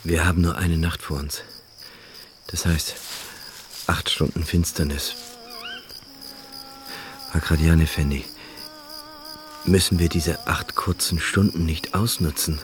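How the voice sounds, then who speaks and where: An actor in a German radio play playing a grave, urgent leader in a besieged camp, speaking in measured phrases. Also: male voice, extremely low pitch, fairly even intonation, background noise.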